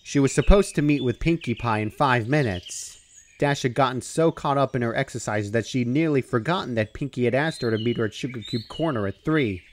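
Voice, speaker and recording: A man's voice: mid-range voice, brisk, slight background noise. A man reading a story aloud as a scripted narrator. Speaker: fan fiction narrator.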